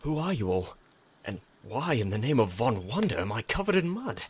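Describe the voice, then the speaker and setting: A man's voice: mid-range voice, very animated, moderate pace, husky. A man playing a haughty, pampered young half-elf nobleman in a fantasy audio drama, pompous and indignant.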